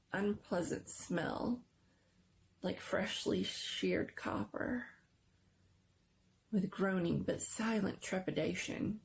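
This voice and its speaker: A woman giving a dramatic, suspenseful narration of a scary story, sometimes hushed and lingering on words. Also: mid-range voice, creaky, a little hiss or hum, poor audio. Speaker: story reader.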